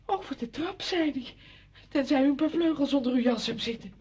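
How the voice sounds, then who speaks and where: A woman's voice: sits high, a little fast, darkish tone, lightly acted. An actress playing an effusive, chatty woman visitor who is out of breath in a radio drama. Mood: breathless, indignant. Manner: effusive, exclaiming. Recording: muffled, dull old radio play recording.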